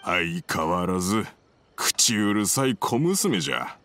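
A man's voice: low voice, big pitch swings, a bit bright, a little hiss or hum, exaggerated voice. An actor playing a stern old master swordsmith in an anime game, speaking in an old man's archaic manner. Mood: gruff, scolding. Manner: slow, archaic old-man speech. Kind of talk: Japanese anime game voice acting.

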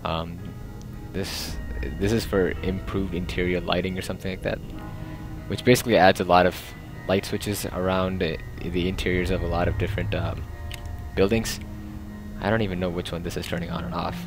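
A man's voice: deep voice, little pitch movement, moderate pace, music in the background. A young man casually narrating a game, rambling and joking as he plays. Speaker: let's play host. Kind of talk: casual gameplay commentary.

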